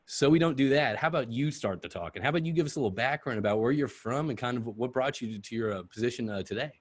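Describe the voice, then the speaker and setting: A man's voice: mid-range voice, fast talker. A man hosting a podcast interview, hesitant and self-admittedly nervous, restarting sentences often. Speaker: podcast host.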